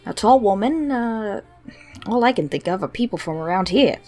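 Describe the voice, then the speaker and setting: A woman voicing a confident, teasing female shopkeeper, blunt and dry with a soft heart, in a story reading. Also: medium pitch, lively intonation, moderate pace, soft background music, pitched above the performer's own voice, close to an everyday voice. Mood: curious, teasing.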